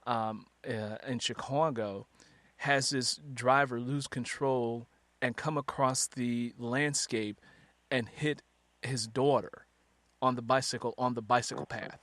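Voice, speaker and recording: A man's voice: medium pitch, fairly even intonation, takes it slowly, fairly bright tone, no room sound. A man interviewing as a host and fellow author, chatty and conversational, sharing his own experience as he leads into questions. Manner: chatty, illustrates questions with personal anecdotes.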